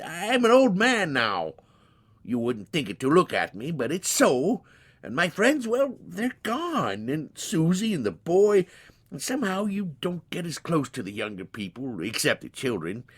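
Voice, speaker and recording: A man's voice: high-pitched, sing-song, a little slow, husky, pitched above the performer's own voice, exaggerated voice. A man voicing a plain-spoken, stubborn man in his nineties from a storybook, with a rambling, exclaiming, folksy manner. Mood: wistful, stubborn. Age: 90s. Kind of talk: dramatized storybook reading.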